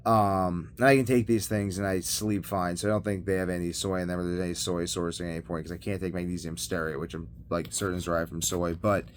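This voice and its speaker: Guy with a low voice doing a rambly vlog, kinda halting, trails off, some noise behind him. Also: steady tone. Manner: halting, trailing off mid-thought. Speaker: video blogger. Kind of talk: rambling casual monologue to camera. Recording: noticeable background noise.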